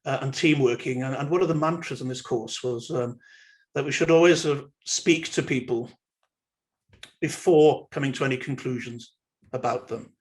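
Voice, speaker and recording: Thoughtful Welsh man lecturing online. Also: mid-range voice. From Wales. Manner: measured, thoughtful, many ums and uhs. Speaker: university lecturer.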